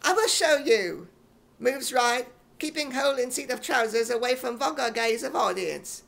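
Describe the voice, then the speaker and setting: Boastful, gullible small British schoolboy, high-pitched, for radio comedy. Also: male voice, moderate pace, vocal fry, fairly bright tone, exaggerated voice. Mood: proud, excited. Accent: imitation British. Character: naive, boastful little schoolboy who reads his stage directions.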